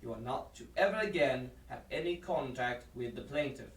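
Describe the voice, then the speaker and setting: Distant, roomy sound: male actor as solemn judge, sixties, formal deliberate courtroom delivery, audio drama. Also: mid-range voice, lively intonation, lightly acted. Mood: stern, official. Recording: echoey, distant, background noise.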